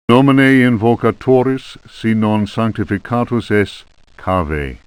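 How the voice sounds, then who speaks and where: Smooth, slow, solemn grimoire reciter. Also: male voice, on the low side, no room sound. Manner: solemn, deliberate, incantatory. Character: solemn male reciter of an arcane Latin grimoire. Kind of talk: ceremonial scripted incantation.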